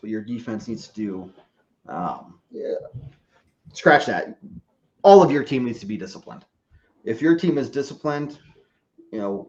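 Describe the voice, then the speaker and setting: A man's voice: mid-range voice, a little mellow, lo-fi sound. A man who is a guest on a sports podcast, giving a casual, rambling football analysis with lots of hesitations and self-corrections. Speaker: podcast guest, team fan.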